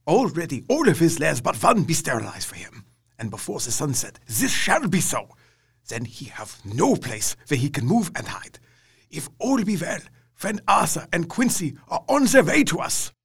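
An actor playing an elderly professor and vampire hunter, grave and steadfast, speaking in broken, formal English with a husky, gravelly voice. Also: male voice, on the high side, big pitch swings, normal speed, heavily acted. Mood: comforting. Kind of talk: audio drama acting.

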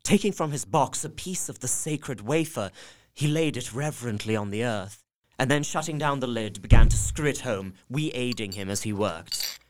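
A man's voice: medium pitch, animated, normal speed. A man narrating a gothic drama in the first person, earnest and heartfelt. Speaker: narrator and young husband character.

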